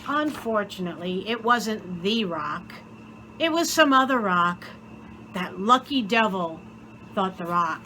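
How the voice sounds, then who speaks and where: A woman reading a children's story aloud in an expressive, theatrical way, with deliberate dramatic pauses. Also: mid-range voice, a little hiss or hum. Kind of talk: expressive scripted story narration.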